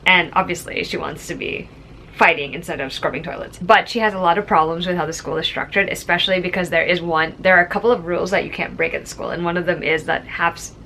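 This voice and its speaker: Bright-voiced, low-pitched woman book vlogger. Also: steady tone, fast, slight background noise, rough recording. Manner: conversational, explanatory. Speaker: host of a book vlog. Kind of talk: casual book recommendation chat.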